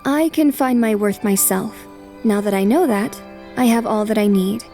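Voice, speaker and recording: A woman voicing a poised, self-assured young noblewoman in an anime fan dub, formal, calm and resolute. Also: medium pitch, moderate pace, faint music behind, natural voice. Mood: calm resolve.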